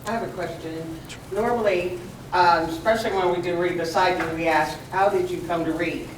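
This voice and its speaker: A woman's voice: fairly low pitch, steady tone, normal speed. A woman hosting a community event, asking guests questions and introducing people in an informal, friendly way. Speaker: host. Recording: echoey room, far from mic, noisy.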